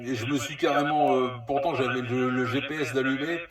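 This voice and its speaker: A man's voice: medium pitch, steady tone, brisk, a bit bright, far from the mic. Hesitant man in an echoey space, off mic. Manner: rambling, hesitant. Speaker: CB radio operator. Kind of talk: casual two-way radio chat.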